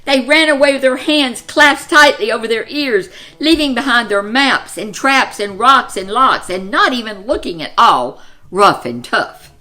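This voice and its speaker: Woman storyteller, very lively and unhurried. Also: a little high. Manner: deliberate, dramatic phrasing. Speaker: children's storyteller. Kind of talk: expressive picture-book read-aloud.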